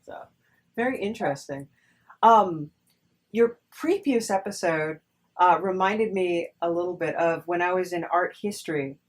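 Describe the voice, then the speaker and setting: A woman's voice: fairly low pitch, measured pace. A woman hosting a podcast in casual conversation, with a hesitant, wry way of talking. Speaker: podcast host. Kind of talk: casual interview chat.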